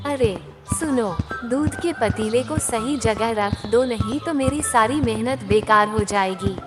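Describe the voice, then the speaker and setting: Woman playing a slow-spoken, nagging village wife. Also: fairly high pitch, music in the background. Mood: complaining. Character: farmer's wife, practical, complaining village homemaker. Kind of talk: animated Hindi moral-story voice acting.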